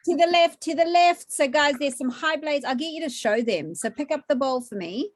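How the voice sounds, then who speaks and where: A woman's voice: high voice, normal speed. A woman, hosting a virtual cooking class from her home kitchen, chatty and upbeat as she questions a child.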